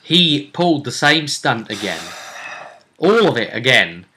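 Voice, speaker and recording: A man co-hosting a casual recap of a tabletop game as game master, telling it in a measured storyteller's way with pauses. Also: medium pitch, sing-song, sharp tone, slight background noise.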